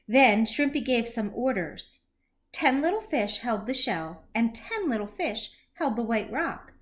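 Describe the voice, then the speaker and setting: A woman with a high voice reads a picture book to young children. Her delivery is very slow and deliberate, and her melody swings widely as she tells the story expressively. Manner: animated, slow, deliberate, with questions to young listeners.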